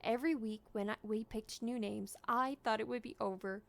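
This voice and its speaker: A woman's voice: fairly high pitch, fairly even intonation, moderate pace, gravelly. A woman voicing a tearful, earnest little boy in a storybook, with a pleading, choked delivery. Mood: upset, pleading.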